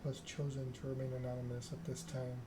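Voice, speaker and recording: A man playing a loyal, dutiful vampire sheriff in a video game, giving a formal, deliberate report. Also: mid-range voice, completely flat delivery, close to an everyday voice. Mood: formal, grave. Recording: clear background noise.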